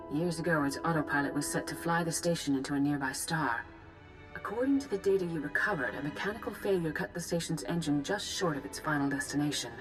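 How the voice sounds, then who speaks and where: Low-voiced, composed Jedi master played by a woman. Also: close to an everyday voice, poor audio. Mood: calm, formal. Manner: measured, grave, formal. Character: composed, authoritative female Jedi master giving mission briefings. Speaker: military commander briefing volunteers. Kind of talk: formal scripted game dialogue.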